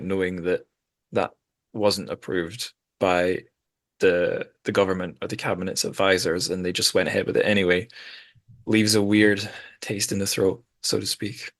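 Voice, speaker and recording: Male podcast host, deep register, wry and discursive, casual discussion with some hesitant phrasing. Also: fairly even intonation, moderate pace, fairly bright tone, no echo.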